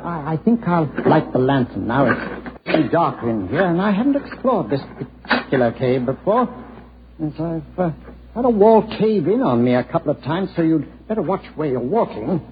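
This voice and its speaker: An obliging local gentleman with a fairly high, animated voice. He explains things politely and hesitantly, in the style of vintage radio acting. The recording is dull with light noise. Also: normal speed. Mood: eager, cautious. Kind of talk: 1940s radio drama acting.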